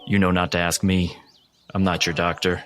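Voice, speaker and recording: Low-voiced, stern asylum attendant played by a man. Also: flat delivery, moderate pace, a bit bright, a little hiss or hum. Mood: curt, cold. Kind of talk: tabletop roleplay acting.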